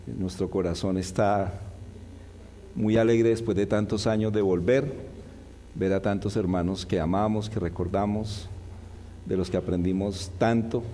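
Slightly hoarse preacher in a reverberant hall. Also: male voice, low-pitched, dark tone, slight background noise. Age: middle-aged. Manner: didactic, repeats and lists key points in measured phrases. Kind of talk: expository Bible sermon. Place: large church hall.

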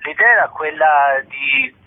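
A man's voice: a little high, measured pace. A man being interviewed as a theatre director, talking spontaneously in a hesitant way with repeated words and restarts. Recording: muffled, narrow-band telephone-like sound.

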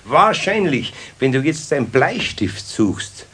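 An actor playing a gruff but kindly older master carpenter in a children's radio play, homely and wry, with a Bavarian accent. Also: male voice, mid-range voice, very animated, takes it slowly, a bit bright, a little hiss or hum. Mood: wry, teasing.

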